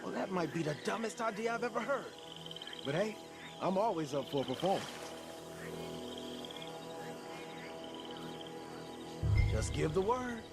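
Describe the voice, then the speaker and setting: An actor playing an eager, wry young outlaw in an Old West video game. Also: male voice, sits high, lively intonation, a little fast, vocal fry, over music. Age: young adult. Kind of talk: naturalistic video game acting.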